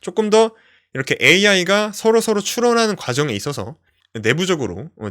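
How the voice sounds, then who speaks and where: A young man hosting a screen-share tech tutorial, explaining step by step with frequent fillers. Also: a little high. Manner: explanatory, lively, many fillers "어" and "뭐".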